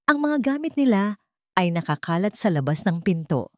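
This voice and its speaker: A woman's voice: on the low side, very animated, normal speed, no room sound, clean studio sound. A woman reading a scripted love story as narrator, in a dramatic storytelling style.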